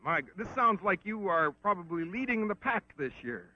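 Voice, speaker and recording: A man conducting a formal, polite sports interview on a 1960s film, with a slightly halting delivery. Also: high-pitched, sing-song. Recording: muffled 1960s film soundtrack.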